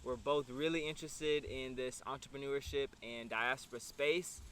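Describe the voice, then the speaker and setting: A man's voice: mid-range voice, steady tone, moderate pace, a bit bright. A man, hosting a casual interview. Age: 20s. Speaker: interview host. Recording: clear background noise.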